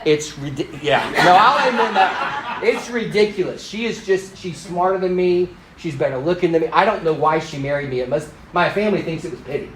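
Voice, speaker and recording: A man in his fifties who grew up in Thailand, teaching a church class in an animated, joking way and teasing the people in it. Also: fairly high pitch, fairly quick, few pauses, noisy background. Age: 50s. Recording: echoey room.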